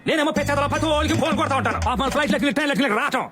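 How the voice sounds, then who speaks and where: A very fast, high-voiced man in a film comedy, with music clearly underneath. He is a fretful, tactless relative who fusses and repeats himself impatiently. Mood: exasperated. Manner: nervous, repetitive, fussy complaining. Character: fretful, impatient, tactless relative awaiting an old woman's death.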